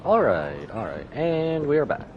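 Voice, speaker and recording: Man, low pitch, swinging intonation, casual livestream commentary, playful reactions, music behind. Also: very animated, unhurried, lo-fi sound. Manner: playful, reacting and musing aloud. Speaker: game livestreamer. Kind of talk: casual live gameplay commentary.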